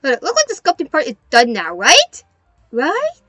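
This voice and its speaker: A young adult woman narrating a craft video as host in a playful, animated, self-mocking way. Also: high voice, normal speed, loud music behind the voice.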